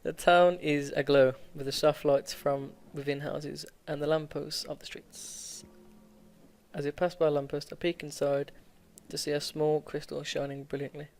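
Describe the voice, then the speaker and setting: A man with a slightly high voice reads a visual novel aloud as a streamer. He stumbles over the text and slips in casual, joking asides while game music plays clearly behind him. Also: flat delivery, normal speed.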